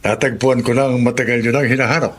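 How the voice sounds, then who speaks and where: A man plays a loyal, discreet attorney in a radio drama. He speaks deliberately and respectfully at a middling pitch, over faint background noise. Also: fairly even intonation, fairly bright tone, close to an everyday voice. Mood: respectful, reassuring. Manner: measured, deliberate, polite. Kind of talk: Filipino radio drama acting.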